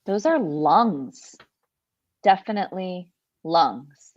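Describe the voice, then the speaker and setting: Woman acting a slow, deadpan young counselor. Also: fairly low pitch, dry recording, muffled recording. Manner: deadpan, matter-of-fact. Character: cool, matter-of-fact attractive young female camp counselor. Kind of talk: live script table read.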